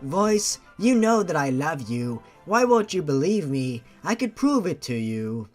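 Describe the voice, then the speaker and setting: Story character, read by a man: lovestruck male killer, earnest, insistent, animated delivery, music underneath. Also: a little high, unhurried. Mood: earnest, pleading. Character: lovestruck, persistent male killer pleading with his beloved.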